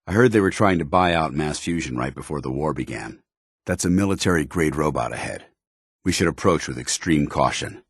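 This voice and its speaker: A stern, loyal male military officer from a video game. His voice sits low and is smooth, never muddy. He speaks in formal, clipped, earnest phrases, as a dutiful soldier would, in a very dry studio sound. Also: lively intonation, a little fast, dry recording, heavily acted. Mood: wary, observant. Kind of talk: video game companion dialogue.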